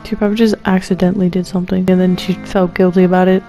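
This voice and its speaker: A woman's voice: lowish voice, flat delivery, racing, mellow, soft background music. A woman streaming a game, reacting casually with slangy, playful and dramatic asides.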